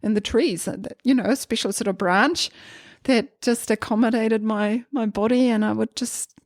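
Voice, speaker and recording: A woman from Germany with a German accent, a podcast guest talking in a relaxed, thoughtful way with many small pauses. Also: on the high side, no echo, clean studio sound. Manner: thoughtful, hesitant with many ums. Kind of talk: casual interview chat.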